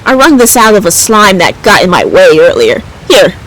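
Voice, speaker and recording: A woman's voice: medium pitch, very animated, moderate pace, a little hiss or hum, close to an everyday voice, poor audio. A woman voicing a brash, blunt adventure game companion in a casual, bossy, teasing manner. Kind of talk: video game character voicing.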